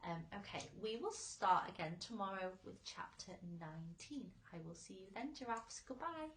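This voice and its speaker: A woman's voice: mid-range voice, expressive, normal speed, fairly bright tone, roomy sound, a little hiss or hum, low-quality recording. A woman teacher reading a children's story aloud expressively for young listeners.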